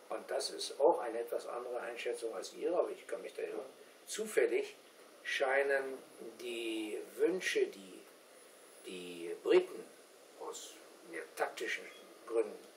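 An older man, a guest lecturer, explaining his points in a discussion in a halting, deliberate way, often correcting himself. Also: medium pitch. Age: older, 60s or 70s. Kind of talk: discussion after a talk, explaining. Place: small indoor meeting room with seated audience. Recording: roomy, distant camera mic, background hiss.

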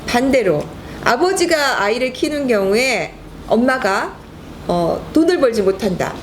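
Middle-aged lady lawyer explaining stuff, bouncy higher voice, bit of faint hiss. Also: a little slow. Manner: clear explaining, lively. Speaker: lawyer and host. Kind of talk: explanatory talk show chat.

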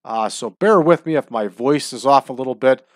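A man's voice: mid-range voice, normal speed, no echo, studio quality. A man commenting casually on a wrestling management game at home, chatty and opinionated, his nose stuffed up from allergies. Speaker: let's-play host.

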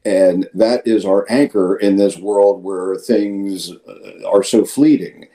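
Older man, priest, deep voice, very warm dark tone, halting conversational delivery, video call. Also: steady tone. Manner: halting, with repeated words and emphatic asides. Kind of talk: conversational interview answer. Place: indoor room, video call.

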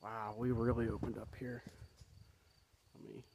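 A man's voice: mid-range voice, level tone, a little slow, vocal fry, darkish tone, a little hiss or hum. Rambling man vlogging on a forest walk. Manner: rambling, reflective, many fillers. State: walking while talking. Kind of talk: casual walking vlog. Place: outdoors on a wooded trail. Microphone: phone or camera held at arm's length.

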